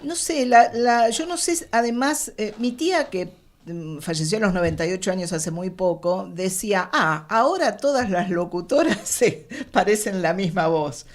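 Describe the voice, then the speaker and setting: A woman's voice: medium pitch, animated. A middle-aged woman with an Argentine accent giving reflective interview answers, searching for words and stressing key points. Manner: halting, searching for words, emphatic. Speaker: radio theatre specialist, interview guest.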